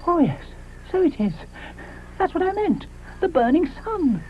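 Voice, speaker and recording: Man putting on a high dreamy dinosaur, sleepy, slow-witted, tinny phone-like sound. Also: very animated, exaggerated voice. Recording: tinny lo-fi with faint hiss.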